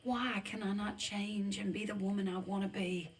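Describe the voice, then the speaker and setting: A woman's voice: mid-range voice, fairly even intonation, moderate pace, slight background noise, close to an everyday voice. An actress playing a tender, regretful woman of the old West, voicing a letter in a game with earnest, formal feeling. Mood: anguished, regretful. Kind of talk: letter voice-over in a video game.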